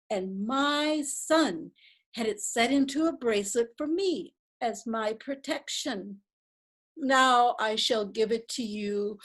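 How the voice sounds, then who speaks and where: Kindly old knitter, read by a woman: unhurried, scattered, softly fussy. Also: on the high side. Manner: flustered and rambling. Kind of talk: readers theatre reading.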